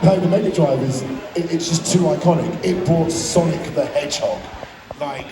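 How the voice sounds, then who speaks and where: A man's voice: on the high side, moderate pace. Playful man ribbing a fellow panelist. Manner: playful, stumbling restarts. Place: large convention hall, live panel. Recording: echoey hall from far off, crowd.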